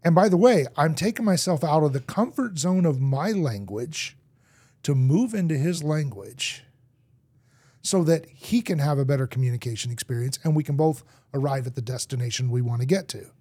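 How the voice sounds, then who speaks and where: A man who grew up in Argentina, a podcast guest telling lively stories in conversation. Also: fairly high pitch, moderate pace, husky, no room sound. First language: English. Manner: lively storyteller acting out anecdotes. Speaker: guest, marketing executive.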